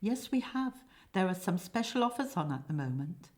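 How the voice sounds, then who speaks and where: Woman putting on brash, emphatic hired killer, loud and high. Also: big pitch swings, measured pace. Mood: businesslike, salesy. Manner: emphatic, salesman-like, punching key words. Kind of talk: comic stage play script reading.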